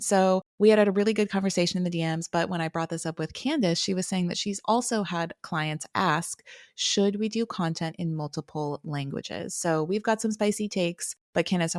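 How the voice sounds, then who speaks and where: Candid low-voiced woman podcast co-host. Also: dry recording, clean studio sound. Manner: candid, energetic, swears casually. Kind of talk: casual podcast chat.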